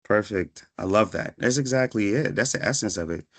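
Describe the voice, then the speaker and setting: Guy in his 30s w a lowish voice, talking to students about his path, reflective, conversational motivational talk. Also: a little fast. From born in Ghana, raised in Washington, D.C. area from age five. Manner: reflective, conversational, often checks in with "right?". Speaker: guest speaker, psychiatric pharmacist. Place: online video session.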